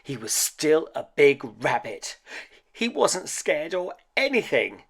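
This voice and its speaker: A man reading a children's picture book aloud in a dramatic, expressive way. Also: fairly high pitch, takes it slowly, vocal fry. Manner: dramatic, expressive, with hushed suspenseful dips. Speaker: children's story reader.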